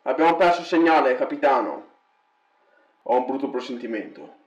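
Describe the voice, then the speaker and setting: A man voicing an earnest, dutiful clone soldier with brisk military phrasing in an amateur stop-motion fan dub. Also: medium pitch, animated, close to an everyday voice. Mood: urgent. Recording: echoey home recording.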